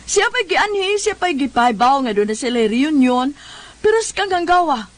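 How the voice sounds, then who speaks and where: An actress with a Cebuano Filipino accent playing an exasperated woman who scolds with drawn-out, emphatic words in a comedy radio drama. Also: high-pitched, big pitch swings. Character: exasperated scolding woman nagging a hot-headed man.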